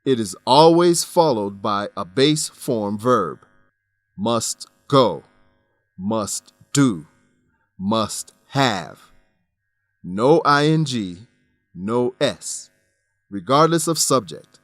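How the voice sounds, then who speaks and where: A man presenting an English lesson in scripted narration, with a measured, didactic delivery. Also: medium pitch, lively intonation, dry recording.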